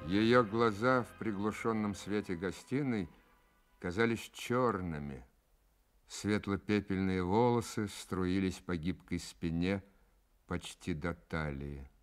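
Radio-play storyteller: deep, expressive narration of a detective tale over music. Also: male voice, takes it slowly. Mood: measured, suspenseful.

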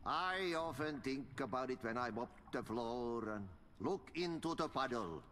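An actor playing an older, cryptic, cheerful Finnish-accented janitor who speaks in a folksy, unhurried sing-song in a video game. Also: male voice, mid-range voice, expressive, a bit bright, in a large hall, a little hiss or hum. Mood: cryptic, reassuring. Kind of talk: video game character acting.

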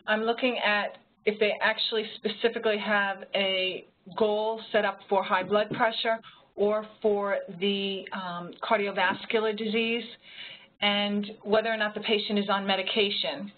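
A woman panelist answering questions informally over the phone, rephrasing haltingly as she explains. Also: mid-range voice. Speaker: panelist, home health professional. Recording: phone-like line with faint noise.

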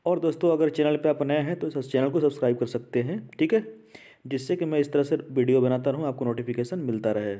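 A man's voice: mid-range voice, fairly quick, mellow. A man hosting an informal educational explainer, talking conversationally with repeated phrases and frequent check-in tags. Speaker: host of an education channel. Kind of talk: informal explainer to camera-less video audience.

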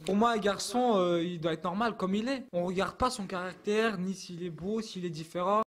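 A man's voice: sits high, moderate pace. A young man answering interview questions earnestly into a handheld mic in a youth centre room, with some fillers and restarts. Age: young adult. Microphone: handheld microphone.

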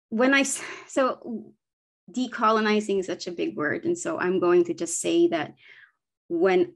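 Woman from the Philippines, Bisaya native speaker, 40s, earnest, lots of ums, laptop webcam audio. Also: fairly low pitch. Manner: earnest, thoughtful, with frequent ums and self-corrections. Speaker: children's book publisher. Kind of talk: presentation over video call. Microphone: laptop webcam on video call.

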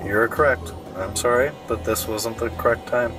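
A man speaking as a police officer on duty, calm, firm and matter-of-fact with a detainee. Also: medium pitch, moderate pace, over loud music. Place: police car and outside at night. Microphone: body camera, off-mic. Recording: distant police camera audio in vehicle.

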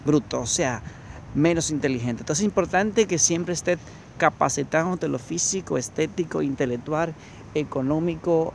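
A man's voice: a little high, vocal fry. A man in his thirties giving a motivational talk to a phone camera as he walks along a city promenade at night, repeating his points and speaking straight to the viewer. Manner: preachy, repetitive, addresses viewer directly. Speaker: motivational coach. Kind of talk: walking vlog monologue. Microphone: phone camera held while walking. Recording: noisy outdoor phone recording. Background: street ambience and passing traffic.

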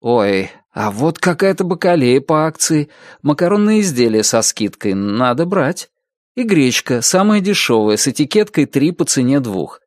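A man reading a detective novel aloud as an audiobook narrator, with an expressive, varied delivery. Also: medium pitch, normal speed, dry recording, clean studio sound.

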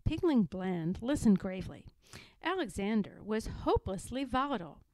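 A woman reading a children's story aloud in an expressive narrating style. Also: mid-range voice, moderate pace, no room sound, rough recording. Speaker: story reader.

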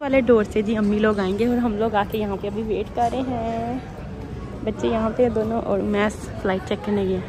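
A woman's voice: mid-range voice, fairly even intonation, normal speed, over music. A woman in her thirties from Pakistan, with a Pakistani Urdu accent, chatting casually to viewers in a vlog. She talks straight to the camera and often adds a polite "ji". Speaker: family vlogger. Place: busy airport, parking and terminal. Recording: loud crowd and traffic noise.

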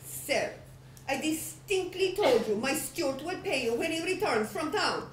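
A woman's voice: high-pitched, expressive, unhurried, slight background noise. A woman voicing a proper young widow who speaks in a stiff, formal, affronted way. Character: proper offended young widow, mistress of the house. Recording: roomy echo, from a distance.

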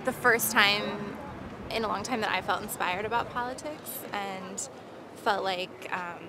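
A woman's voice: mid-range voice, unhurried, background noise. A young woman in her twenties answering interview questions thoughtfully. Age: 20s. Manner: thoughtful, with fillers and restarts. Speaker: graduate student at rally. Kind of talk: street interview.

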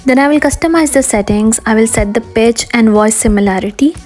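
A woman with a fairly high voice presents a scripted software tutorial as its host. She guides the listener step by step, with music playing behind her. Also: fairly quick. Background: background music.

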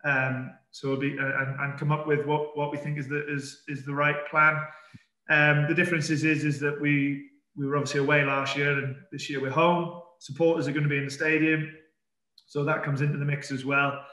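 A middle-aged man, a football manager, answering press questions calmly over a video call, with many fillers and restarts. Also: fairly high pitch, brisk. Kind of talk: post-match press conference. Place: indoor press room in front of a sponsor board. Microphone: webcam on a video call. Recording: echoey room.

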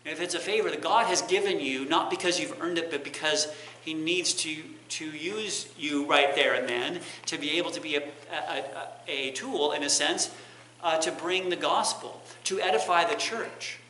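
A middle-aged man teaches a Bible lesson in an explanatory way, restating his points as he goes. His voice is slightly high and very bright. The church room echoes around him, with some background noise. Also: moderate pace, few pauses. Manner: explanatory, repeats and rephrases points. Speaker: preacher giving a Bible lesson. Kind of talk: teaching sermon. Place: church sanctuary, indoors.

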